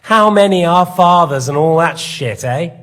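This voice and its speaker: A man's voice: on the high side, lively intonation, moderate pace, fairly bright tone. A man playing a smug, sadistic lord in a game, interrogating with mocking, theatrical, mock-polite menace. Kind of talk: dramatic game acting.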